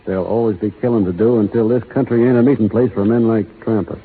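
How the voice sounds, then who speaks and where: An actor playing a stoic, principled American cowboy foreman in a 1930s radio drama, soft-spoken, folksy and restrained. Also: on the low side, fairly even intonation, fast, husky. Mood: grave, resolute. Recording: muffled, narrow-band old radio recording.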